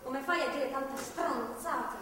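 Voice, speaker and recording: In a very echoey, far-off theatre recording, a young captive woman speaks in a high voice. She is bitter and defiant, swearing and repeating herself in agitated, halting bursts. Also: fairly bright tone. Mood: scornful, angry. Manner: foul-mouthed, agitated, repetitive and halting. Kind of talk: theatrical stage drama acting. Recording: echoey, distant theatre recording, faint noise.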